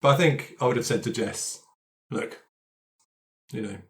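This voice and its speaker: A man's voice: medium pitch, normal speed. A man in a casual, tentative chat about the character he plays in a tabletop game. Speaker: tabletop role-player.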